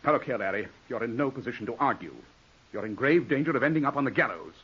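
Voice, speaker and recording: An actor playing a middle-aged, domineering English lawyer scolding and lecturing in a 1940s radio drama. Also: male voice, medium pitch, expressive, fast talker, husky. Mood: urgent, cold. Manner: forceful, scornful, lecturing. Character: domineering English lawyer, ambitious, exasperated older brother. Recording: dull 1940s radio broadcast recording.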